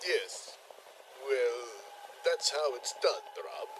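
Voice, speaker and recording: A man's voice: medium pitch, drawn-out words, fairly bright tone, a little hiss or hum, rough recording. A man playing a grave, pompous vampire hunter doctor in a comic audio play, solemn and melodramatic.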